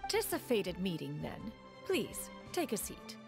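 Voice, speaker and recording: A woman's voice: mid-range voice, huge pitch swings, a little slow, gravelly, over music, heavily acted. A woman voicing a poised, ancient female adept who speaks in a formal, archaic way. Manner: formal, archaic, refers to herself as one.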